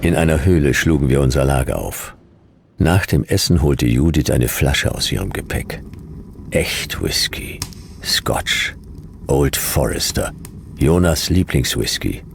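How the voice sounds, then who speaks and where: A laconic private eye narrating a science-fiction radio play. The voice is extremely low and gravelly, delivered slowly in clipped deadpan phrases. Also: male voice, a little hiss or hum, heavily acted. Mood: terse, brooding. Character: laconic, hard-boiled male detective narrator in sci-fi desert. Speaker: narrator and lead actor. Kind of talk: noir first-person radio drama narration.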